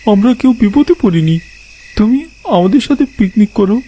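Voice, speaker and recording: Man putting on a high, menacing djinn. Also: expressive, moderate pace, dark tone, breathy edge. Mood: eerie, insistent. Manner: insistent, threatening. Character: tall menacing male djinn inviting a traveller to picnic.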